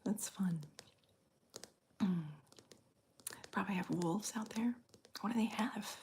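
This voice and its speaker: A woman from the Southern US with a slight Southern accent, softly whispering in an unhurried, drawn-out way as she chats with viewers in an ASMR stream. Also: medium pitch, a little hiss or hum. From Southern United States. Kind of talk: ASMR whispered live chat.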